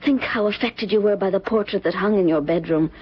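An actress playing a tormented young woman burdened by a dark heritage, with solemn, melodramatic phrasing in old-time radio style. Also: mid-range voice, a little fast, husky, lightly acted. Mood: haunted, intense. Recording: dull vintage radio broadcast recording.

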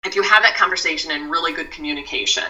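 A woman speaking as a mindfulness expert on a podcast, giving measured advice with frequent "you know" asides. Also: low-pitched, bright, forceful tone, recorded from a distance, muffled recording. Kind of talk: podcast conversation.

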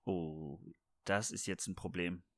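A man's voice: low-pitched, steady tone, slow pace, a bit bright, dry recording. A man chatting casually as a podcast co-host, joking and rambling with frequent restarts. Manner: playful, jokey, rambling with many restarts. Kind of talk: casual chat.

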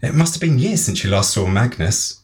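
A man's voice: mid-range voice, sing-song, a little fast, a little mellow, voice pushed up, close to an everyday voice. A man voicing a shrewd, flirtatious and hospitable woman boss in a story, with a familiar, coaxing manner.

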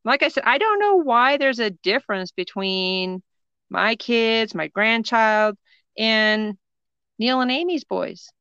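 A woman's voice: a little high, lively intonation, slow pace, pushed and bright, smooth, no echo. A middle-aged woman co-hosting a casual chat podcast, talking unhurriedly and laughing often. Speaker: podcast co-host. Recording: dull lo-fi dry recording.

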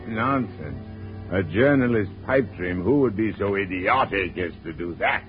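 An actor playing a dedicated middle-aged French scientist in an old-time radio drama, earnest and declamatory. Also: male voice, lowish voice, animated, unhurried. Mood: scornful. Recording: tinny old radio recording with music.

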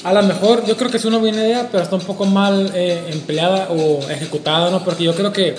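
A man's voice: high-pitched, steady tone, fast talker. A man co-hosting a casual panel discussion, hesitant and searching for words, with dry jokes. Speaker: co-host. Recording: echoey room with music behind.

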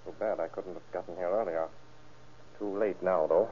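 An actor playing a calm, matter-of-fact male doctor in an old-time radio crime drama, with a practical bedside manner. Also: low-pitched, normal speed, breathy edge. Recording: muffled, band-limited vintage radio recording.

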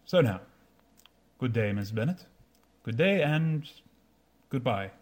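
A man playing a proud, haughty young gentleman in a radio drama, speaking stiffly and courteously. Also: medium pitch, expressive, slow pace. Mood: indignant, firm, then wounded, formal.

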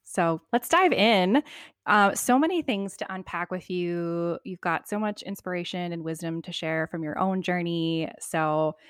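A woman hosts her own podcast, reading a bright scripted introduction before easing into relaxed, chatty conversation. Her voice sits a little low, and the recording is dry and close with no room sound. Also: measured pace, dry recording. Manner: warm gushing intro read, then chatty and relaxed. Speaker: podcast host. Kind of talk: scripted intro then casual interview. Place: home, video call.

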